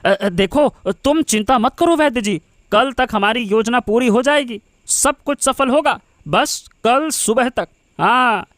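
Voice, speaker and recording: A man's voice: very high-pitched, a little slow, fairly bright tone, no echo, studio quality. A man voicing a scheming, self-important chief minister in a cartoon story, menacing and drawing out his words. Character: scheming, threatening royal chief minister, sly villain. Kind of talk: Hindi cartoon story voice acting.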